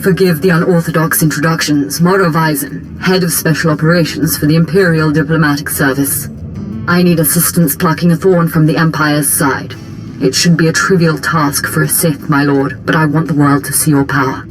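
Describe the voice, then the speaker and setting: A woman's voice: low-pitched, fairly even intonation, moderate pace, lightly acted, rough recording. Formal female imperial operative over music acted out by a woman. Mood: formal, persuasive. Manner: formal, polished, deferential. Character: smooth, ambitious female imperial diplomatic operative. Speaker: imperial special operations chief. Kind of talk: dramatic video game dialogue.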